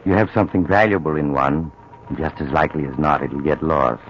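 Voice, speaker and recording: Sardonic deep-voiced storyteller. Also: male voice, a little fast, a little hiss or hum. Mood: sly. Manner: dry ironic storytelling. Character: sardonic male storyteller host. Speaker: narrator. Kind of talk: 1940s radio narration. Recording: muffled old radio broadcast.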